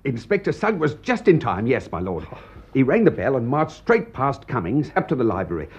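An English manservant in a radio drama, respectful but eager. His voice is husky and lively in pitch, on a slightly muffled recording. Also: normal speed. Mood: urgent, triumphant. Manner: respectful, urgent. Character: deferential, eager English gentleman's manservant reporting to his master.

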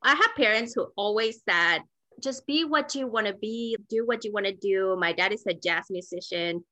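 A woman's voice: medium pitch, moderate pace, bright, forceful tone, dry recording. A woman from Mexico, a native Spanish speaker, telling personal stories as an interview guest in an informal, candid way. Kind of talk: conversational personal storytelling.

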